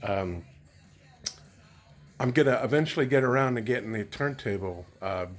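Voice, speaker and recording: Rambling man in casual show-and-tell. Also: mid-range voice. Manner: rambling, halting, thinking aloud. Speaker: vlogger showing vintage audio gear.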